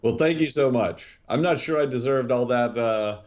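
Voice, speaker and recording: A middle-aged man, a guest on a video call from a small office, chatting in a relaxed, modest way with easy laughs. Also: mid-range voice, moderate pace. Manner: relaxed, modest, laughs easily, hesitant with fillers. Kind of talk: casual interview chat.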